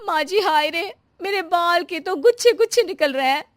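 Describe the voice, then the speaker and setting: A woman voicing an eager, boastful young daughter-in-law in an animated story, deferential and exclaiming. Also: very high-pitched, moderate pace, no room sound, studio quality, voice pushed up. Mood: dismayed.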